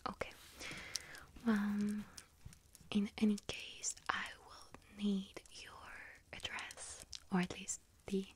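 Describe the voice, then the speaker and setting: A woman doing a whispered ASMR role-play as a friendly young bakery witch, speaking softly and gently with a breathy, hesitant delivery. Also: medium pitch. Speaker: ASMR performer role-playing a bakery shop helper.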